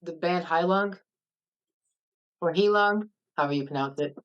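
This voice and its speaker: A woman's voice: fairly low pitch, moderate pace, lo-fi sound. A woman chatting casually and informally as part of a group conversation. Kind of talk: casual chat.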